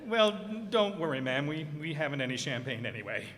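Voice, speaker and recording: A man playing an excitable, fumbling radio reporter in a radio comedy, stammering and hesitant. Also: fairly high pitch, fairly quick. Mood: nervously polite. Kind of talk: old-time radio comedy acting. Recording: reverberant hall stage recording.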